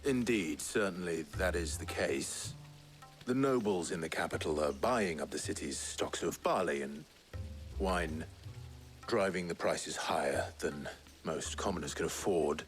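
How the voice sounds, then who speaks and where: An actor playing a reserved, dutiful warrior hero in a video game, speaking tersely and earnestly. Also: male voice, sits low, measured pace, faint music behind. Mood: stiff, formal. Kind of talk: video game cutscene acting.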